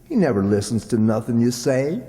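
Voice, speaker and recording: A man's voice: mid-range voice, very animated, normal speed, warm tone, close to an everyday voice. A man playing a mild, gullible and wry country sidekick with a folksy rural Southern drawl, in old-time radio comedy style. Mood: dry, wry. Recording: echoey hall with long reverb.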